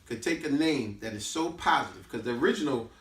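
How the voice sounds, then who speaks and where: A man's voice: medium pitch, expressive, a little fast, a bit bright. An American man giving an informal, preachy teaching talk, emphatic and repetitive, full of rhetorical questions. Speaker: host giving a talk on history and culture. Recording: echoey room, distant microphone.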